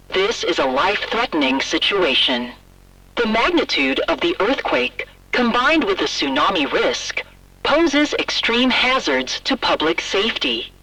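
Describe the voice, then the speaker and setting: A bright, crisp-edged voice with a gravelly creak delivers a scripted emergency alert. The delivery is formal and authoritative, with pitch that moves noticeably through each sentence. It sounds like a radio-style broadcast heard from a distance. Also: no echo.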